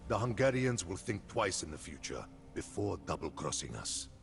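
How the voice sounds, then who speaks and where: A man's voice: sits low, sing-song, creaky, full character voice. A man playing a grave, vengeful khan who solemnly narrates the story of his people in a video game. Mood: grim. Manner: solemn, ominous, deliberate. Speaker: cutscene narrator. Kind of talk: dramatic scripted storytelling narration. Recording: music behind.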